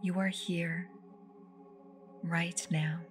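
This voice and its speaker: A woman leading a guided meditation in a soft, soothing, unhurried voice that turns breathy at phrase ends. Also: lowish voice, level tone. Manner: soothing, unhurried, with long pauses. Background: meditative music with singing bowls.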